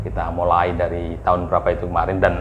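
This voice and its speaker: A man hosting a casual podcast interview, speaking with many fillers and giving soft hums of agreement. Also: low-pitched, completely flat delivery, rapid-fire, phone-quality sound, slight background noise. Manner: frequent fillers, quiet hmm backchannels. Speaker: podcast host, editor.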